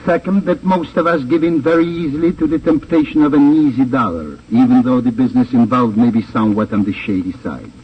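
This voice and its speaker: A man's voice: medium pitch, husky, muffled recording. A man gives a formal, earnest scripted moral address in the style of 1950s radio. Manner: formal, earnest, deliberate phrasing. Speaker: guest actor giving closing address. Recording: distant, band-limited old radio recording, faint noise.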